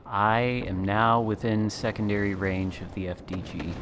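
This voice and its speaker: A man chatting casually as a guest while playing a naval battle game, talking in a smooth late-night radio voice. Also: lowish voice, fairly even intonation, moderate pace, noisy background. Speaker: guest content creator. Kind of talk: casual gameplay chat.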